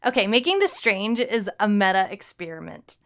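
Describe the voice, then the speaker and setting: A woman's voice: mid-range voice, sing-song, moderate pace, fairly bright tone. A woman co-hosting a podcast in a casual, chatty style. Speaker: podcast co-host. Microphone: podcast microphone on a boom arm.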